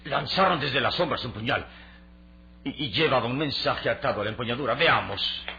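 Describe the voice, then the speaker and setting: An actor playing a suave, confident adventurer hero in a vintage radio drama, with a measured, courteous and calculating delivery. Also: male voice, medium pitch, lively intonation, gravelly, pushed and bright. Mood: grave. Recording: dull, noisy old radio drama recording.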